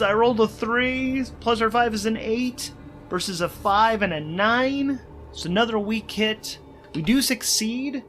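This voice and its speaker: A man playing a solo role-playing game, thinking aloud and narrating haltingly, repeating phrases as he reasons things out. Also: high voice, a bit bright, slight background noise.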